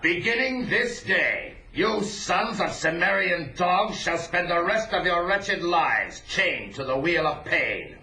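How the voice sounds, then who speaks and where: A harsh, sneering overseer of slaves in an old audio drama. The voice is high and piercing, swinging widely in a theatrical, excitable delivery. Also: male voice, slow, hardly any pauses, full character voice. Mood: cruel, commanding. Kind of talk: 1980s audio drama acting.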